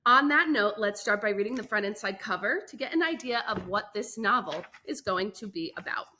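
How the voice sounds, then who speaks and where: A woman reading a children's book aloud as a host, with expressive, enunciated storytelling and emphatic phrasing. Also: mid-range voice, brisk, slight background noise.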